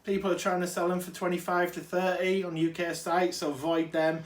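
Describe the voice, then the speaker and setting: A man's voice: high-pitched, little pitch movement, fairly quick. Man with a cold, chatty. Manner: chatty, enthusiastic, self-correcting asides. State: has a cold. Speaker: toy collector vlogger. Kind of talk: casual unboxing chat. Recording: roomy, distant mic.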